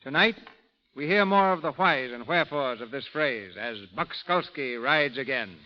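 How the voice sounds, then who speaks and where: A man's voice: mid-range voice, expressive, normal speed. A man hosting in the formal announcing style of 1930s radio. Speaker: radio show announcer and host. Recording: noisy, reverberant lo-fi 1930s radio recording.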